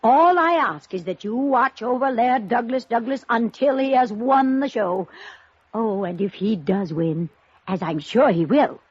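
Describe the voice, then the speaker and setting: An actress playing an imperious, gushing rich society woman who dotes on her dog, in a 1950s radio drama with a husky voice. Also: on the high side, expressive, unhurried. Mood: firm, insistent. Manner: gushing, haughty, insistent. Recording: muffled vintage radio recording.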